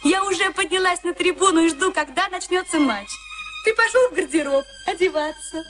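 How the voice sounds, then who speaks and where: High-voiced flippant young sweetheart. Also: female voice, fairly quick. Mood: amused, dismissive. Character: flippant, teasing girlfriend of a boxer. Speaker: actor. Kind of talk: Soviet radio play acting. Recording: archival radio drama, music behind.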